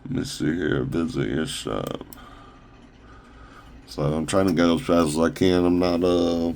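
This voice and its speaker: A man casually showing off his own shoe designs on a phone camera, rambling with fillers and restarts, his voice a little raspy from talking a long time. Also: sits low, darkish tone, slight background noise. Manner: halting, loose, full of fillers and restarts. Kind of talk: casual rambling product showcase.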